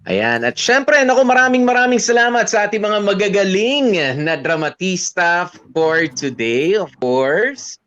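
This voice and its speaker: A man's voice: high-pitched, big pitch swings, a little slow, few pauses, fairly bright tone, dry recording. Filipino male radio DJ, dry dull sound. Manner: conversational, punctuated with tag questions. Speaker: radio DJ co-host. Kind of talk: casual radio talk with Taglish advice to listeners.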